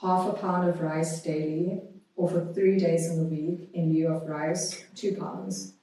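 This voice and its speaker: A woman's voice heard in a strongly reverberant room, some distance from the microphone. She has a soft, mellow tone and speaks as a podcast guest, measured and deliberate, as in conversation or reading aloud. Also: sits low, steady tone. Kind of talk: conversational interview, reading a historical notice aloud.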